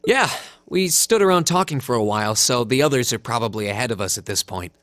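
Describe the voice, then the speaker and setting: An American man voicing an easygoing, modest teenage hero in a video game, with a relaxed, friendly manner. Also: mid-range voice, fairly quick, no echo, natural voice. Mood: calm, casual.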